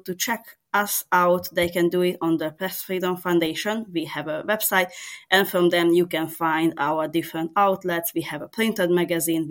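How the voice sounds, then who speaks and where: A woman in her thirties from Serbia, speaking as a journalist guest in a podcast interview, hesitant with many ums and self-corrections. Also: on the low side. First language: Hungarian. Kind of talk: casual interview answers.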